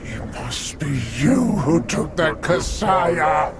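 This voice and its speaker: A creaky, greedy temple elder. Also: male voice, on the high side, expressive, over loud music, full character voice. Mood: menacing. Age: older. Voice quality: creaky, gravelly. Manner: slow, drawn-out, pleading. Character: greedy ancient male temple elder, blind and scheming. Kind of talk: video game cutscene acting. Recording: noisy game soundtrack.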